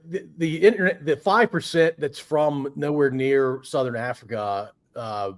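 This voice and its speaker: A man hosting a podcast, asking questions in a halting, hesitant way full of restarts. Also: medium pitch. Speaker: podcast host. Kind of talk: casual conversational interview.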